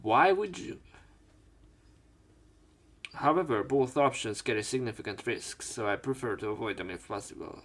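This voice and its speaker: A man's voice: mid-range voice, normal speed, long pauses, a bit bright. A man hosting a let's play, reading game text aloud and adding casual, joking commentary.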